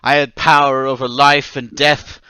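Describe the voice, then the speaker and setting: An actor playing a troubled, desperate man confessing to murders, halting and pleading in old time radio drama style. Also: medium pitch, flat delivery, pushed and bright, a little hiss or hum, natural voice, low-quality recording. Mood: brooding, unsettled. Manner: halting, agitated, stammering, pleading.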